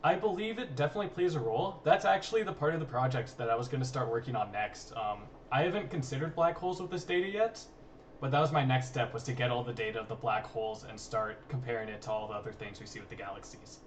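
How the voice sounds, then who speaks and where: Fast-talking man, a bit far from mic. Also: mid-range voice. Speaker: guest student researcher. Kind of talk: informal research explanation. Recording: distant mic, faint background noise.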